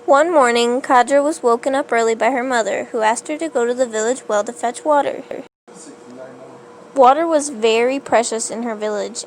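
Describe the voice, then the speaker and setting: A woman's voice: on the high side, a little hiss or hum, low-quality recording. A woman reading a children's story aloud as narration. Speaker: storybook reader.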